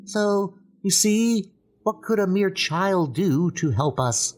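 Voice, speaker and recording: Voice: medium pitch, animated, slow. An actor playing a solemn, distressed inhabitant of a doomed city in a dramatized comic book audio drama. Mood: grave dismay. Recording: loud music under the voice.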